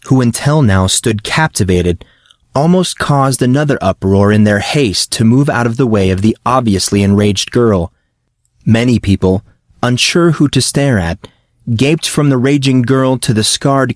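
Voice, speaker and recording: A man's voice: medium pitch, dry recording, clean studio sound. A man reading a scripted fantasy audiobook as narrator.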